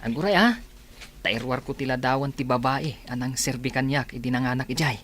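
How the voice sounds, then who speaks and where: A woman's voice: extremely low pitch, fairly quick, slight background noise. A woman with an Ilocano accent playing a respectful staff member in a radio drama. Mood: deferential.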